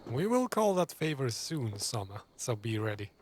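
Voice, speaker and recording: A man's voice: mid-range voice, big pitch swings, moderate pace, slight background noise. A man streaming a game, giving short, casual reactions and commentary over the action. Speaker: gaming streamer. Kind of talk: casual live gameplay commentary.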